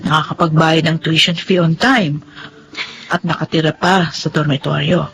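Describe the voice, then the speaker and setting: A woman playing a spirited, outspoken young working student in a Filipino drama, brisk and frank with advice. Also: sits low, fairly even intonation, gravelly, over music. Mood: earnest, firm. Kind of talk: Filipino radio drama acting.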